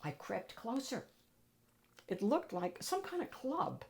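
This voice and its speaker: A woman reads a children's picture book aloud as host, with expressive, dramatic emphasis. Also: fairly high pitch, slow, creaky. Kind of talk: animated storybook narration for children.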